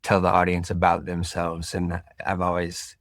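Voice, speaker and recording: Very deep, flat-voiced male host. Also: no echo. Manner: relaxed, reflective, with filler pauses. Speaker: podcast host. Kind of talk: casual interview.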